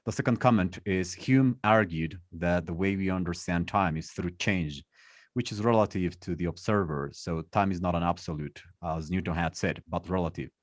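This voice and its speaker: A man's voice: sits low, normal speed, dry recording, clean studio sound. A man moderating a question session who reads out audience comments in a plain way.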